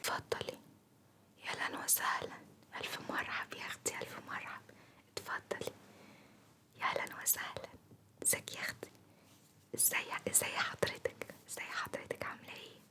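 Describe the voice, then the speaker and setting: A woman voicing a chatty, homesick Upper Egyptian beautician in a breathy whisper, with a Sa'idi accent and effusive, repetitive grumbles and endearments, as an ASMR roleplay. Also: a little fast, pauses often, natural voice. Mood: effusive welcome. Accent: Upper Egyptian (Sa'idi) Arabic.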